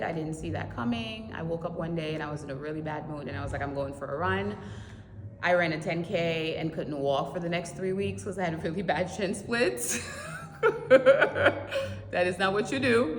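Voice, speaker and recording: A woman from Haiti in her thirties, a marathon runner answering interview questions in an animated way, laughing often and repeating words for emphasis. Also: on the low side. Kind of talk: casual interview. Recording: echoey, reverberant with loud ambient noise.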